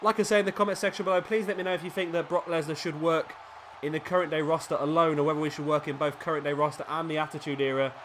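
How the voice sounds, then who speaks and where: A man, sniffly with a cold, commentating a wrestling video game play by play and getting excited at big moves. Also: fairly high pitch, very fast, slight background noise.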